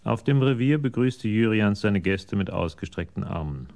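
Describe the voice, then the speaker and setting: A man's voice: lowish voice, expressive, moderate pace, vocal fry, a little mellow, slight background noise. A man narrating a crime drama from a script.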